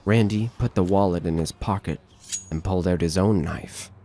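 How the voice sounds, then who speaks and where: A man's voice: sits low, normal speed, dark tone, soft background music. A man narrating a scripted horror story as the narrator of an audio drama.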